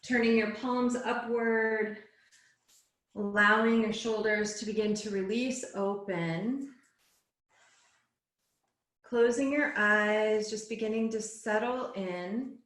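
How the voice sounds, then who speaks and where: A woman yoga teacher guiding a relaxation and meditation class in a soothing, unhurried way, phrase by phrase. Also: medium pitch, a bit bright. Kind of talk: guided relaxation and meditation instruction. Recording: echoey, roomy sound.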